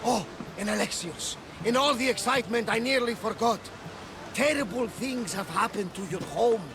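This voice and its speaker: An actor playing a loyal, devout older ship captain with a gravelly voice in a video game. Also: male voice, extremely high pitch, normal speed, noisy background. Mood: concerned. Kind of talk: video game cutscene acting. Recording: background music under the voice.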